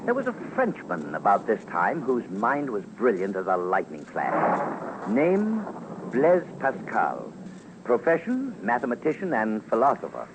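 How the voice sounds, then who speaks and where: Animated male radio documentary narrator. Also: on the low side, measured pace, background noise. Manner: theatrical, emphatic. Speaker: commentator. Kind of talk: dramatic scripted documentary narration.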